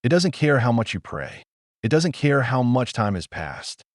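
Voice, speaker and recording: A man performing a dramatic audio-play monologue as a wounded, solemn, defiant trauma survivor, speaking deliberately and emphatically with dramatic pauses. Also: mid-range voice, sing-song, dry recording, clean studio sound, close to an everyday voice. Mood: pained, confiding.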